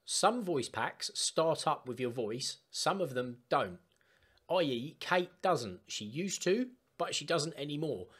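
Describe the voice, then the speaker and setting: A man chatting casually through a step-by-step software tutorial for gamers. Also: on the high side, expressive, slow pace, husky. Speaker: gaming tutorial host. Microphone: desktop microphone, voice-over of screen capture.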